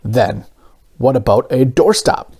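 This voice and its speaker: A man voicing a mild, kindly, hesitant grown man in a storybook, with gentle, drawn-out phrasing. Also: medium pitch, lively intonation, slightly rough, exaggerated voice. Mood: hopeful, tentative.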